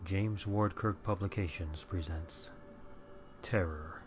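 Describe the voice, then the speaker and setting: A man's voice: deep voice, slow pace, highs cut off, noisy background. A man narrating a horror story and announcing the show in a theatrical, menacing style. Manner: theatrical, rhyming intro read with menace. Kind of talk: dramatic horror reading.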